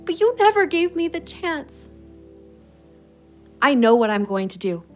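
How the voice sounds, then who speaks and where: Very high-pitched, wounded grieving sister. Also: female voice, very animated, a little slow, soft background music. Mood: bitter, grieving. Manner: confrontational, bitter. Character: Rosie, proud, wounded grieving younger sister. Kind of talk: emotional audio drama acting.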